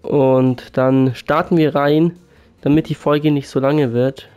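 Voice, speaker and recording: Sick man with a flat, dark voice. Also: medium pitch, slow, clean tone. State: sick, sore throat. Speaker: gaming YouTuber. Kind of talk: casual game commentary. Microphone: USB condenser mic. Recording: faint game music behind.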